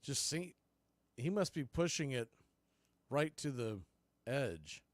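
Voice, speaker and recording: A man's voice: mid-range voice, expressive, slow pace, dry recording. A man doing live baseball play-by-play commentary as the show's announcer, in terse, clipped calls.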